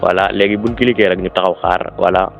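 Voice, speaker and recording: A man's voice: sits low, fairly even intonation, fast, creaky, dull audio, soft background music. A man with a Senegalese accent gives an informal step-by-step app tutorial, repeating instructions and mixing in French words. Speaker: tutorial presenter.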